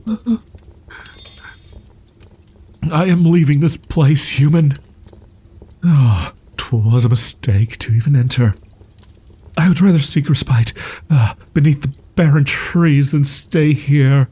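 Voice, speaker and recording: A man playing a proud, wounded young wolf-boy in an audio roleplay, stammering in archaic, formal phrasing with a husky, breathy voice. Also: a little high, a little slow, warm tone. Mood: anguished, defiant. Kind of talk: roleplay audio drama, ASMR.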